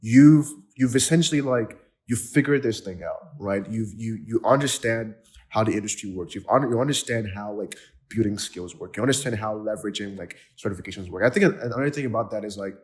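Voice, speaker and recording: A man from Nigeria hosts a casual podcast chat in a fairly low voice. He talks eagerly, with plenty of "like" fillers and repeated false starts as he builds his point. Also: a little fast, darkish tone. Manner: enthusiastic, many "like" fillers and restarts. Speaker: podcast host.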